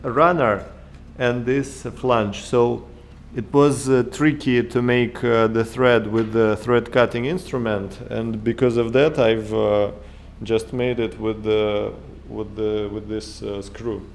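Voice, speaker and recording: A man in his thirties with a Russian accent, talking through his workshop in halting English full of fillers and restarts, recorded on an action camera indoors. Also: medium pitch, steady tone, slight background noise. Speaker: maker vlogger. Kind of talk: casual workshop vlog. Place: home workshop room, indoors. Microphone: action camera held or mounted close.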